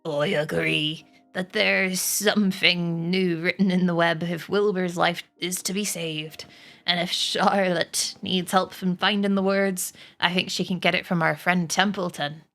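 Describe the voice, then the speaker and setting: Old sheep, voiced by a woman, storybook elder, measured, authoritative, shrewd, persuasive. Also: lowish voice, steady tone, vocal fry, fairly bright tone, soft background music. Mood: matter-of-fact, scheming.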